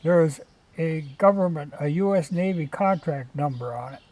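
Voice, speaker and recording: A man with a slightly higher-than-average voice gives a casual, unscripted show-and-tell. He talks in a folksy, chatty way and rambles from one thought to the next like an amateur vlogger. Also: normal speed, a little mellow. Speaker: vlogger showing old radios from a junk collection.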